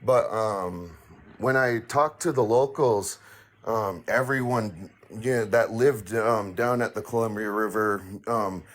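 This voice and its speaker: A man calling in to tell a paranormal story, halting and full of fillers, with a raspy voice. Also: lowish voice. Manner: halting, many fillers and restarts. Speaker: caller sharing a paranormal story. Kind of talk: hesitant casual storytelling.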